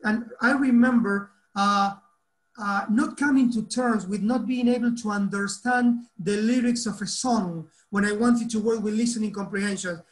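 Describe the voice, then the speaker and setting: Man, Argentinian accent, high-pitched, earnest teacher trainer, video call. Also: moderate pace, rough recording. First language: Spanish. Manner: earnest and animated, asks the group questions. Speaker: teacher trainer hosting an online meeting. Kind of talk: casual talk to a video-call group.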